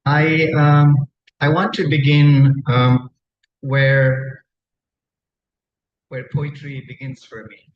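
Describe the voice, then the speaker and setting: Slow-paced man reading poetry, echoey room. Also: mid-range voice, little pitch movement. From Nepal. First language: Tamang. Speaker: poet. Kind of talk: live poetry reading with spoken introductions. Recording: dull, echoey room recording, faint noise.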